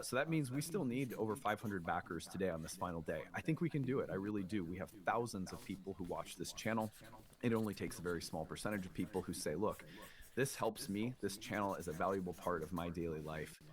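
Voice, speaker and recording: A man hosting a conversational astrology show, explaining things in an earnest way. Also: sits low. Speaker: show host.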